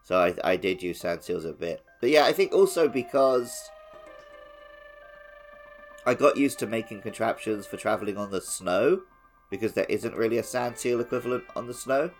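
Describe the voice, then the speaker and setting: Casting: podcast co-host with a very animated, up-and-down delivery for casual gaming chat. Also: mid-range voice, normal speed, soft background music. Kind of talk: casual podcast chat about a video game.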